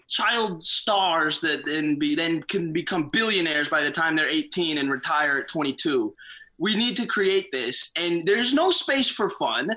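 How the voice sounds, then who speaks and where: A young man from the United States, a guest in a casual chat, joking with deadpan sarcasm and laughing at himself. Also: high-pitched, rough recording.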